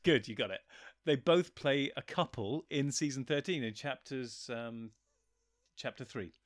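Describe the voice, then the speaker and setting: A man's voice: mid-range voice, unhurried, no echo, studio quality. A man chatting casually as a guest, talking enthusiastically and wandering off on tangents. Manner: enthusiastic, rambling and digressive. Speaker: guest, writer and voice actor. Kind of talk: casual chat.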